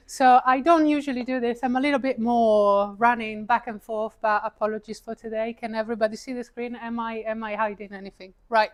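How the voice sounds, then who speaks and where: A woman's voice: fairly high pitch, little pitch movement, fairly quick, hardly any pauses. A woman with an Italian accent, in her thirties, giving a chatty, self-deprecating live talk to an audience, with frequent fillers and laughs. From Italy. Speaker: paid advertising speaker. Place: event room with an audience, seated.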